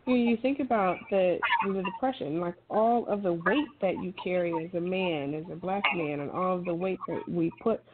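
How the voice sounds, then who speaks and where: A woman's voice: fairly low pitch, normal speed, few pauses. A middle-aged woman talking casually, giving emphatic, encouraging advice in a colloquial way. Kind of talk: casual conversational talk, advice. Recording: thin phone-like sound with clear noise.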